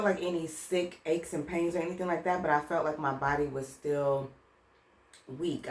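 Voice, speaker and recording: A woman vlogging at home in a casual, chatty way, talking straight to her viewers and still a little congested from a cold. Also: low voice. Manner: chatty, addresses viewers as "girl". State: slightly congested from a lingering cold. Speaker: vlogger and author. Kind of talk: casual chat to camera. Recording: roomy echo.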